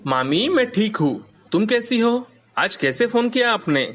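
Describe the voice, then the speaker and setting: An actor voicing a caring, agreeable young husband in an animated moral story, polite and expressive. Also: mid-range voice, measured pace, soft background music. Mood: affectionate, easygoing.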